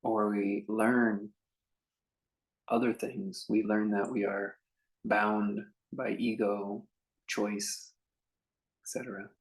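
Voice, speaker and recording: A man leading a guided meditation as a teacher, speaking in a contemplative, unhurried way with long pauses between short phrases. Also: lowish voice, little pitch movement, rough recording.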